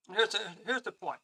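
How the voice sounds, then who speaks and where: Male Bible teacher, high and very bright voice, halting delivery, clears his throat often. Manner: checks in with "okay?" often; reads from on-screen notes and explains them. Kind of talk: live-streamed Bible lesson.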